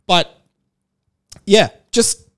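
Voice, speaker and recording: A man's voice: very slow, a bit bright. A man hosting a casual, comedic solo podcast, rambling bluntly and swearing freely. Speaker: podcast host.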